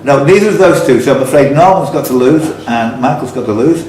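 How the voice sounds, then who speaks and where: A man's voice: mid-range voice, expressive, brisk, darkish tone. A man working as a quizmaster, reading out questions with blunt, teasing, informal banter. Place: echoey indoor club room. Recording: very echoey room, faint background noise.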